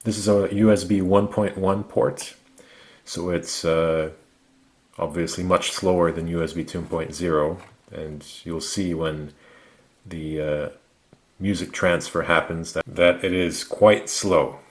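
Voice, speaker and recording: A man narrating a product review, explaining things methodically in short, measured phrases. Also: low voice, steady tone. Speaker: gadget reviewer.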